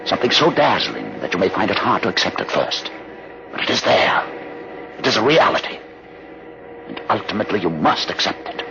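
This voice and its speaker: An actor playing a learned, commanding older rebel aboard a starship in a radio drama, speaking in a deliberate, theatrical, condescending way. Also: male voice, low-pitched, wildly swooping pitch, gravelly, exaggerated voice. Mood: grave, masterful. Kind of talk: old-time radio drama acting. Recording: muffled, noisy old radio recording.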